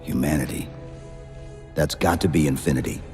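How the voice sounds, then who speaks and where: A man's voice: very deep voice, steady tone, moderate pace, darkish tone, soft background music. A man playing a stoic, resolute supersoldier in a video game cutscene, speaking in terse commands.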